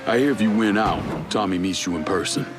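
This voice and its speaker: A man's voice: low voice, fairly quick, noisy background, natural voice. A man playing a terse, cool, tough fighter in a crime video game, speaking in short clipped lines. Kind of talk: naturalistic video game acting.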